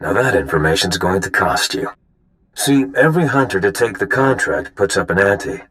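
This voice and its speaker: Veteran bounty hunter, deep voice, wry, sly, unhurried, game cutscene. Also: male voice, animated, a bit bright. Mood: sly, businesslike. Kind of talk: video game cutscene acting.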